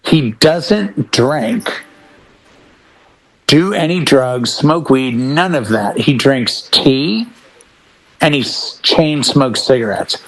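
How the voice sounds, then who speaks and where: A man's voice: mid-range voice, animated, slow, a bit bright, poor audio. A man chatting casually as a talk show panelist, explaining his points emphatically and with anecdotes. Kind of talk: casual chat about rock bands.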